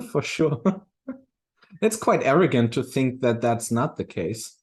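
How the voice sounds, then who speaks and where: A man with a mid-pitched voice talks in a casual, conversational way. He is reflective and halting, often doubling back to restart a phrase before he finishes the thought. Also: very animated, darkish tone, breathy edge. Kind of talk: casual discussion.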